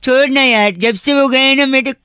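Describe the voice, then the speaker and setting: A man's voice: very high-pitched, steady tone, normal speed, bright, forceful tone, smooth, dry recording, clean studio sound. A man voicing a cheeky, mischievous young boy on a comedy prank phone call, playful and pestering. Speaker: radio prank call host.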